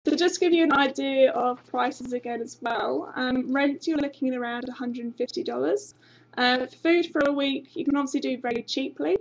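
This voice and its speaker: A woman's voice: sits high, fairly even intonation, moderate pace, gravelly, low-quality recording. A young woman from England with an English accent slightly tinged Australian, chatty and informative, presenting a university webinar from a small office on a webcam. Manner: chatty and informative, frequent ums. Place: small office with banner behind. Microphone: webcam at desk.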